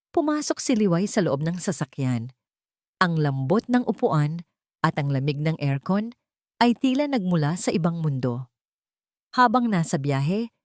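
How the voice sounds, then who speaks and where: Female Filipino storyteller, lower register, dry studio sound, dramatic audiobook narration. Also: darkish tone, dry recording.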